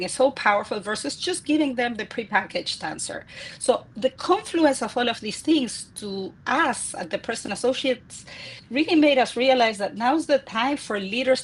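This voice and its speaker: Husky Argentine woman, eager explainer. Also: fairly high pitch, poor audio. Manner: enthusiastic, repeats words as she restarts. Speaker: guest, executive coach and author. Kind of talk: interview answers.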